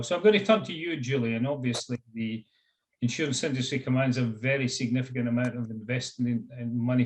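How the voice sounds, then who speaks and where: A man's voice: medium pitch, a little mellow, low-quality recording. A man chairing a webinar panel, speaking formally and courteously as he hands questions to the panelists.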